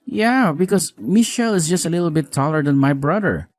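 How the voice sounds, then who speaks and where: A man acting as a newlywed husband in an audio story drama, with a widely swinging, animated pitch. He sounds earnest, apologetic and weary yet caring, with music underneath. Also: brisk, natural voice. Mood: exasperated.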